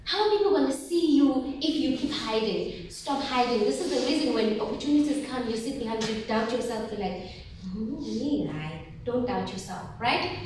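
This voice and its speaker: A woman in her forties from South Africa, giving a lively motivational talk to teenage girls and asking them questions as she goes. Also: a little high, moderate pace, very echoey, far from the mic, noisy background. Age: 40s. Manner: lively, asks the audience questions often. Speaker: workshop facilitator.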